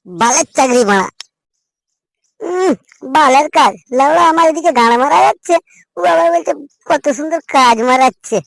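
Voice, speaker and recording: A woman's voice: high-pitched, lively intonation, normal speed, vocal fry, long pauses, no room sound, poor audio. A woman playing a rude, resentful young male worker in a comic cartoon, complaining insolently. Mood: resentful, grumbling.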